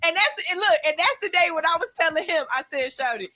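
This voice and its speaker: A woman co-hosting morning radio, chatting and teasing in a relaxed way and laughing often. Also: very high-pitched, fast, no echo. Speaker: radio co-host. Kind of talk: casual morning radio banter.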